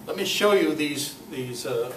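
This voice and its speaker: An older man giving an informal talk at a club meeting, telling personal anecdotes in a halting, conversational way. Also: fairly high pitch, expressive. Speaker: guest speaker at a club meeting. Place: meeting room, in front of an audience. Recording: echoey, noisy room recording from far off.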